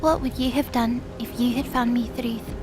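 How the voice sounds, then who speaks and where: A woman's voice: a little high, steady tone, normal speed, over music, close to an everyday voice. A woman playing an earnest, stubborn winged young girl in an audio drama, impassioned, naive and argumentative. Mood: defiant, pleading. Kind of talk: audio drama character acting.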